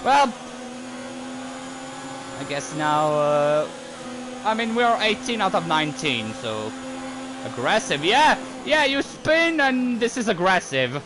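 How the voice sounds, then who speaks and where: Slow-talking French-native man gaming. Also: high-pitched, big pitch swings. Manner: rambling, easily distracted, quick outbursts. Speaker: gaming YouTuber. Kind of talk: casual gameplay commentary. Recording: gameplay audio with clear engine noise.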